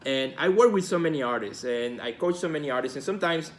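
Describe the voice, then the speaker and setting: A man's voice: a little high, expressive, fast, slight background noise. A man from Mexico with a Mexican Spanish accent, Spanish his first language, hosting a casual live video talk as an art career coach, earnest and encouraging, often repeating his key phrases.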